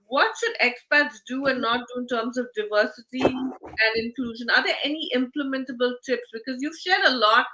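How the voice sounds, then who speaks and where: A woman's voice: high voice, normal speed, background noise. A woman hosting a conversational interview. She talks chattily, filling her sentences with "you know" and "uh". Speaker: host.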